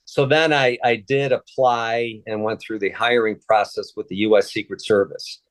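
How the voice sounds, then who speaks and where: Man in his sixties, from Chicago, Polish first language, low voice, dry close sound, hesitant with fillers. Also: fairly even intonation, moderate pace, dry recording. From Chicago, USA. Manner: hesitant, many fillers and restarts, occasional chuckles. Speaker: interview guest, retired Secret Service agent. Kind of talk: casual conversational interview.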